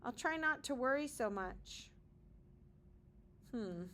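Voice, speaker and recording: A woman's voice: on the high side, lively intonation, takes it slowly, fairly bright tone, a little hiss or hum. A woman reading a children's picture book aloud with dramatic emphasis and short explanatory asides, like a kindergarten teacher.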